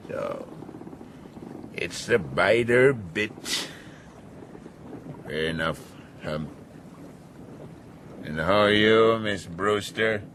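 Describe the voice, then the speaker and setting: An actor playing a menacing, defiant sea captain in a radio drama, commanding and sardonic. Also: male voice, fairly low pitch, expressive, extremely slow, long pauses, fairly bright tone, heavily acted. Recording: faint sea and boat noise behind.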